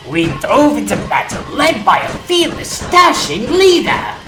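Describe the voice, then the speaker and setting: A woman's voice: fairly high pitch, huge pitch swings, measured pace, music in the background. A woman playing a proud, theatrical, loyal robot second-in-command, eloquent and dramatic, in a comic dub with a roomy echo. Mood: boastful, rousing.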